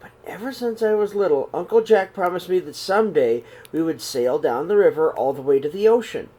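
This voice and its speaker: Expressive man reading a storybook aloud. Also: sits high. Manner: reads slowly and expressively, voices the characters' calls. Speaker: storybook reader. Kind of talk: read-aloud storytelling for children. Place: small room indoors.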